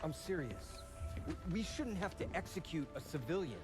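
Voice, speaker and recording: A man's voice: on the high side, sing-song, normal speed, over music. An actor playing an earnest, principled male military officer in a video game. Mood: earnest, troubled. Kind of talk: cinematic game acting.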